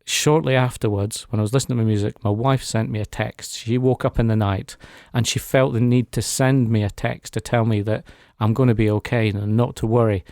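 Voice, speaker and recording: A man's voice: mid-range voice, fairly even intonation, fairly quick, creaky, few pauses, darkish tone, no echo, studio quality. A man speaking as a guest in a radio interview, giving an earnest, reflective personal testimony. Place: radio studio.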